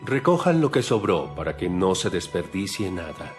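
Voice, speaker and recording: A man playing Jesus as a calm, authoritative teacher in a measured, solemn audio Bible drama. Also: sits low, faint music behind. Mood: calm, instructing.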